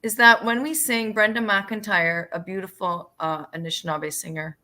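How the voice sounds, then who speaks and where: A woman from Canada giving informal spiritual teaching to a group in an earnest, reflective and somewhat halting way. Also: medium pitch, steady tone, pushed and bright. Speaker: singer leading a drumming circle.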